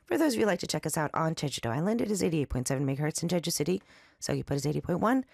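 A woman hosting a radio show, chatting and reading announcements in a raspy, slightly nasal voice after an allergy attack. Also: sits low, rapid-fire, no echo, clean studio sound. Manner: chatty, loose and lively, with fillers. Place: radio studio. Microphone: studio microphone.

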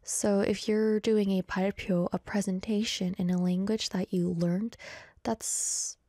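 Young woman DJ from Canada, Korean first language, chatty rambling host, mellow voice, dry close mic. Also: mid-range voice, level tone, unhurried, dry recording. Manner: rambling, chatty, self-deprecating. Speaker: radio DJ. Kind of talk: casual chat. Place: indoors in South Korea.

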